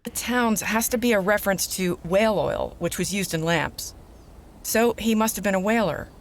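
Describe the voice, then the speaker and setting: A woman's voice: medium pitch, animated, moderate pace, a little hiss or hum, close to an everyday voice. A woman playing an uneasy, curious explorer on an eerie island in an audio drama. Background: eerie island ambience.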